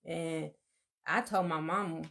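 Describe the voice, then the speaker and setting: A soft-spoken woman, co-hosting a casual live-stream chat and telling her story in a meandering, reflective way. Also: sits low, steady tone, unhurried, gravelly.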